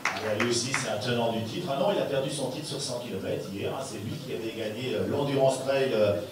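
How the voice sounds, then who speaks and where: A man's voice: mid-range voice, a little fast. A man hosting a press conference, introducing guests informally in a chatty, familiar, teasing way. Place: large echoey room at a press conference. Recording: very echoey hall, distant mic, noisy.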